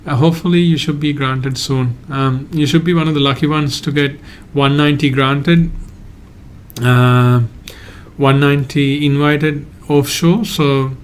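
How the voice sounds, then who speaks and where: Sri guy hosting a live q&a, kinda high voice, pretty monotone, lots of pauses. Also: slight background noise. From Sri Lanka. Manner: halting, hedges often, reads out viewer questions. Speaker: migration agent hosting a live Q&A. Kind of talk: live-stream Q&A, answering viewer questions.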